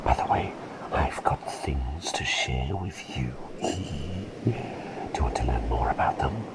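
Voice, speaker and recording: Man playing a slow-whispering eccentric plague doctor. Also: very deep voice, lively intonation, heavily acted, low-quality recording. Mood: soothing, attentive. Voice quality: breathy, unvoiced whisper. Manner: gentle, fussy, quaintly polite, unhurried. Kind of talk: whispered ASMR roleplay with personal attention.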